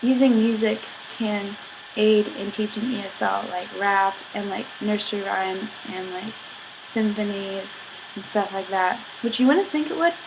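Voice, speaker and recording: A young woman rambling in a casual vlog, filling every pause with "like". She is sick, and her voice sounds a little husky. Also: mid-range voice, noisy background. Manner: chatty, filler-heavy, giggly, says "like" constantly. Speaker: vlogger.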